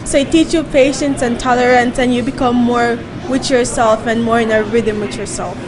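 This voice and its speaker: A woman's voice: fairly high pitch, steady tone, normal speed. A young woman from Jamaica, a volunteer answering interview questions earnestly and searching for words. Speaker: volunteer guest. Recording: noisy crowd around.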